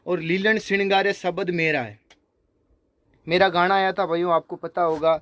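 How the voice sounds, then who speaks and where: A man speaking informally to viewers, remonstrating in a halting, repetitive way. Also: high voice, steady tone, fairly bright tone, no room sound. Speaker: singer. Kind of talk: informal spoken video response to viewers.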